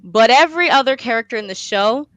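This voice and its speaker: A young woman talking casually as an interview guest, chatty and expressive, often saying "like" and "you know". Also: fairly high pitch, fast talker, no echo, rough recording. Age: young adult. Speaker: guest, young playwright and director.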